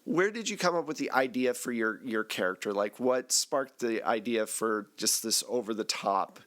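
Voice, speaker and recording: A man's voice: mid-range voice, expressive, normal speed, fairly bright tone. A man hosting a casual group discussion on a podcast, asking questions and commenting in a conversational way. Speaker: host and game master.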